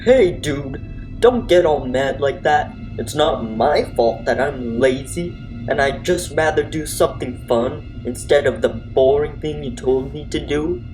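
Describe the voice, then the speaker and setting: A man's voice: a little high, unhurried, over music, lightly acted, poor audio. A man playing a lazy, laid-back gamer monster who talks in casual dude slang in a comic radio play. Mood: whiny excuses. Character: lazy, laid-back young slacker monster who loves video games.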